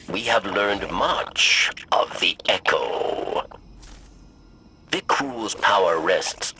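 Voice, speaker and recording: Very deep, slow, solemn alien. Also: male voice, vocal fry, pushed and bright, a little hiss or hum, exaggerated voice, low-quality recording. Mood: grave, hopeful. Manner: solemn, measured, formal phrasing. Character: grave, foreboding alien ally, weary but hopeful counselor. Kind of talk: scripted video game dialogue.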